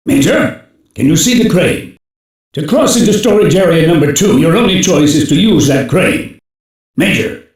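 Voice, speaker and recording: An actor playing a stern, shrewd older male intelligence chief who gives authoritative, urgent orders in dubbed anime game dialogue. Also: a little high. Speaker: chief of a special police unit. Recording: very echoey, distant from the mic.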